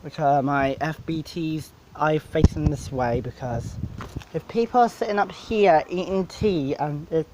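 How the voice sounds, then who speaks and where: Slow-talking man in a casual gear walkthrough. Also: a little high, a little mellow, a little hiss or hum, poor audio. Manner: rambling, halting explanations. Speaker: DJ presenting his PA setup.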